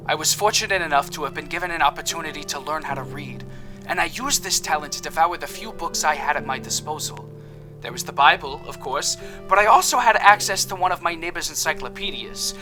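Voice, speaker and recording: A man's voice: a little high, close to an everyday voice. An actor playing a cheerful, boastful male apothecary suspect in an audio drama, with a chipper, self-satisfied, theatrical manner.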